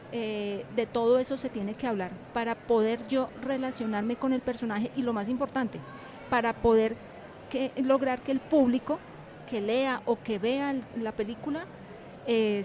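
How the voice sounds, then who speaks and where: A woman's voice: a little high, background noise. A woman teacher being interviewed, talking reflectively about her craft and repeating words and phrases for emphasis.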